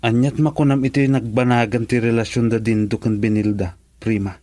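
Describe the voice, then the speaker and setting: A man's voice: medium pitch, flat delivery, brisk, mellow, faint music behind, natural voice. A man acting in an Ilocano radio drama as a frank friend, speaking in an emphatic, questioning way. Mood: frank discussion.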